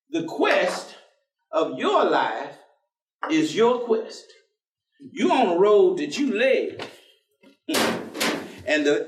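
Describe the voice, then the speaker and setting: Devout, stubborn, teasing folksy man, played by a male stage actor; high voice with big swings, heard across a roomy theatre. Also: slight background noise, heavily acted. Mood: teasing, reflective. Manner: folksy, colloquial, drawn-out delivery. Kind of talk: staged reading of a play. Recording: roomy theatre, distant microphone.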